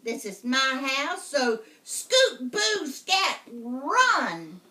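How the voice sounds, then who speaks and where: A woman voicing a cranky, bossy old female witch in a storybook reading, shouting and screeching. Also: high voice, very animated, very slow, distant mic, pitched above the performer's own voice, heavily acted.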